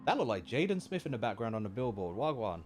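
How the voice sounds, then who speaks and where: Animated male streamer reacting to a game. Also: mid-range voice, fast talker. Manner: joking, slangy. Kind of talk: casual live game reaction commentary. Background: game music.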